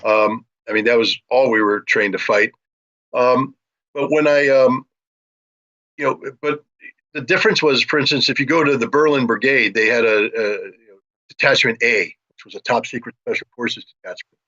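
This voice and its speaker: An older or middle-aged American man discussing geopolitics in a deliberate, explanatory way, as an interviewer with military experience. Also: medium pitch, steady tone, sharp tone, dull audio.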